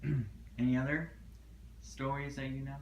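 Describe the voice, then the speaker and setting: A man's voice: medium pitch, flat delivery, normal speed, a little mellow. A man interviewing a relative, asking short prompting questions in an oral history interview. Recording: dull echoey room, faint noise.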